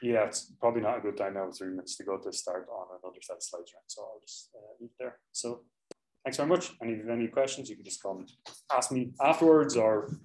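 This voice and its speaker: A young lecturer, a man with a somewhat low voice, chats his way through course details, correcting himself often and explaining with examples. The sound comes from a laptop mic with lots of echo from the hall. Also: quick pace, a little mellow. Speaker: university lecturer. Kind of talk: informal classroom lecture. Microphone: laptop mic on the desk.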